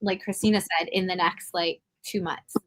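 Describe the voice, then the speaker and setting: A woman's voice: on the low side, fairly even intonation, normal speed, low-quality recording. Informal woman panelist explaining things. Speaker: panelist from the comics industry. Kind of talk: casual panel discussion.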